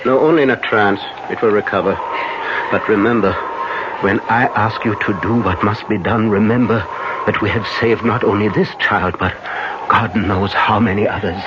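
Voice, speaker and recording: An actor playing a solemn, urgent professor who hunts vampires in a 1970s radio drama. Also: male voice, on the low side, fairly even intonation, normal speed, close to an everyday voice. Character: grave, commanding male professor, a vampire hunter. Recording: muffled, noisy 1970s radio broadcast.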